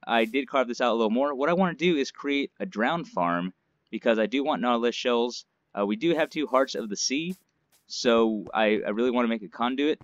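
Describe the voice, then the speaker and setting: A man's voice: medium pitch, no room sound. A man narrates his survival game session in a loose, rambling way, dropping fillers as he builds. His nose is stuffed up from allergies, and his mid-range voice comes through slightly dull, lo-fi audio. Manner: chatty, rambling, many fillers. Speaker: gaming channel host. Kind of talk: casual let's-play commentary.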